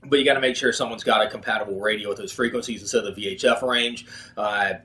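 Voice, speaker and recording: A man's voice: lowish voice, fairly even intonation, fast, sharp tone, roomy sound. A man hosting an instructional video, talking in a casual, plain-spoken, practical way about gear. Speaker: video host, kayak fishing presenter. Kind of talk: casual instructional product talk.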